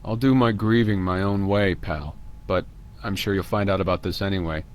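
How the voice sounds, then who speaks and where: A man's voice: sits low, steady tone, moderate pace, slight background noise, lightly acted. An actor playing a blunt, defensive male murder suspect in a video game, speaking in a curt, detached way.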